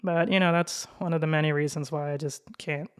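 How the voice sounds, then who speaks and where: A young woman hosting a solo podcast, talking slowly in a flat, monotone voice, laid-back and candid with fillers. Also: low-pitched, clean tone. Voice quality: monotone, slow-spoken. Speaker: podcast host. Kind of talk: casual solo podcast monologue.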